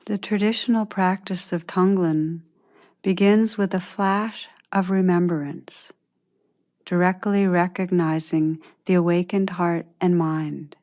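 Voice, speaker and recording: A woman leading a guided meditation in a gentle, unhurried manner, leaving long pauses between phrases. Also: fairly low pitch, steady tone.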